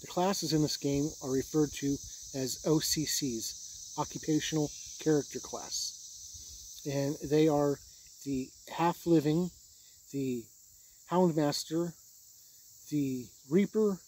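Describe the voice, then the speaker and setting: A man hosting a tabletop game review video, explaining rules conversationally in a husky voice. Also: a little high, steady tone, takes it slowly, long pauses, a little mellow, slight background noise, poor audio.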